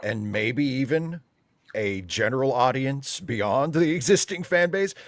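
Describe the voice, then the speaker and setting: A man's voice: mid-range voice, expressive, measured pace, no echo. Wry, skeptical man, dry close sound. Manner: wry, skeptical, qualifies his points. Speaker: panel guest commentator. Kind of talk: casual opinionated discussion.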